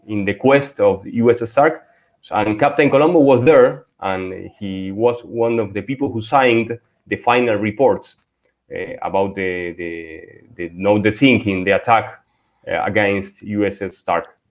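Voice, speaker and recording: A man's voice: fairly low pitch, unhurried. A man talking as a guest author on a video call, telling an anecdote with many fillers. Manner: storytelling, filler-heavy. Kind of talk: casual chat on a video call.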